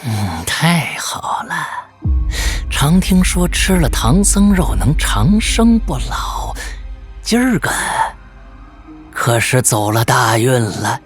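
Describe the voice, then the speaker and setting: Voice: mid-range voice, very animated, slow pace, faint music behind. A storyteller voicing a cunning demon that gloats and schemes in a mutter, in an audio picture-book drama. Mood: gloating. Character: cunning male-voiced demon plotting to eat a monk.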